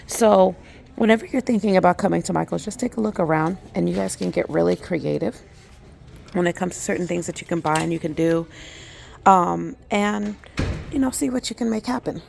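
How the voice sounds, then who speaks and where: A woman hosting her skincare channel, hoarse and losing her voice, chatting casually with lots of ums and likes as she walks through a craft store. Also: fairly low pitch, fairly quick, darkish tone, a little hiss or hum. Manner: chatty, many fillers like um and like. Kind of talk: casual shopping vlog walkthrough.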